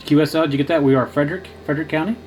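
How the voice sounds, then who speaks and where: A man's voice: fairly high pitch, fairly even intonation, rapid-fire, loud music behind the voice. A man giving casual running commentary as he operates an amateur radio, talking in short, clipped remarks. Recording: loud radio static and hiss. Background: noisy radio static.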